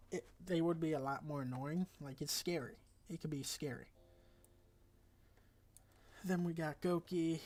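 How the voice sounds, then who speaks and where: A congested man with a raspy voice talks casually through a game tier list, rambling along with fillers and self-corrections. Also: a little high, dark tone. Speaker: gaming video creator.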